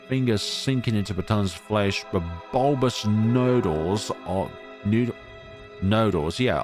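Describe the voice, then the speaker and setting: Theatrical man reading a story over music. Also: medium pitch. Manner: theatrical, stumbles and corrects himself. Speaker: let's play host. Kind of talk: dramatic read-aloud of a visual novel with asides.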